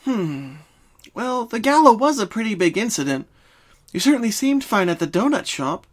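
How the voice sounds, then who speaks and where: Caring, bookish young female cartoon unicorn acted by a storyteller, higher register, earnest and reassuring. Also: very animated, moderate pace. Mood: curious, concerned.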